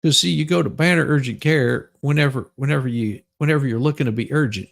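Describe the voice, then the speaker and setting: A man giving a mock dramatic reading with crude comic asides, in a halting, folksy, stammering delivery. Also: mid-range voice, creaky. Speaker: livestream host.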